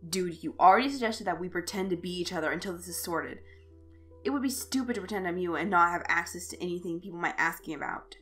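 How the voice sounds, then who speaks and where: A woman's voice: fairly low pitch, fast talker, fairly bright tone, natural voice. Audio fiction role: defensive, flustered young dude, played by a female narrator, casual talk, music under. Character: surly, flustered young male college student.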